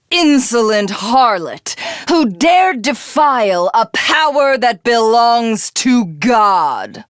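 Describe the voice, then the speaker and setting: A woman's voice: a little high, no room sound, clean studio sound, full character voice. A woman playing a cruel, megalomaniac villainess in a video game, grandiose and theatrical, with a sadistic streak and a hunger to be God.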